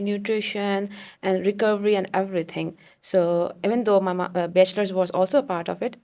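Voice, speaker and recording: Young female guest from Pakistan, earnest, halting phrasing, mid-pitched. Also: dark tone. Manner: earnest, many fillers and restarts. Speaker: guest, alumna, physical therapist. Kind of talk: podcast interview.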